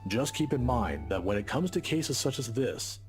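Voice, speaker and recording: Guy doing wry male professor in a game, measured wording but lively melody, music behind him. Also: medium pitch, natural voice. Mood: wry, advising. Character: wry, composed male professor giving advice. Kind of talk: visual novel game acting.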